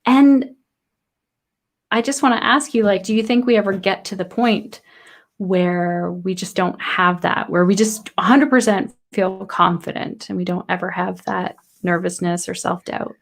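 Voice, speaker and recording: A woman's voice: mid-range voice, steady tone, a little slow, vocal fry. Encouraging woman podcast host. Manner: encouraging, reflective, asks open questions. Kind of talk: casual interview chat.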